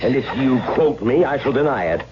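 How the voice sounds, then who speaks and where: A hissy vintage radio drama recording carries a male actor as a grandiose film director. His delivery is highly animated, theatrical and pompous. He pauses and repeats words as if everything revolves around him. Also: highs cut off, natural voice. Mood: lofty, lamenting. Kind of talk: 1970s radio drama acting.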